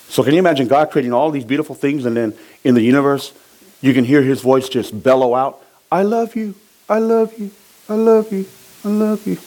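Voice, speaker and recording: A middle-aged man from Samoa, a pastor preaching a sermon, asking his congregation to finish his sentences. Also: mid-range voice. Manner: lively preaching, asks the congregation to fill in words.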